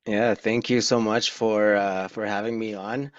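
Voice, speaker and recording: A middle-aged man from Pakistan telling his personal story candidly and informally, with halting pauses, on a video call. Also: lowish voice, level tone, clean tone, no echo. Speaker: guest speaker. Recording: dull, dry video-call audio.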